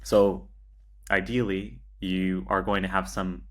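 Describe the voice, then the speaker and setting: Male interview guest, low and flat in pitch, measured, hesitant, matter-of-fact, conversational. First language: English. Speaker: podcast guest, agency founder.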